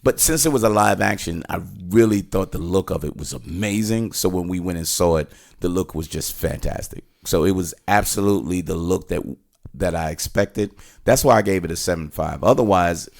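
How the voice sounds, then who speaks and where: Casting: middle-aged male podcast host, low creaky voice, rambling and opinionated movie chat. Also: normal speed. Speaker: podcast host giving a film review. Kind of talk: casual conversational movie review.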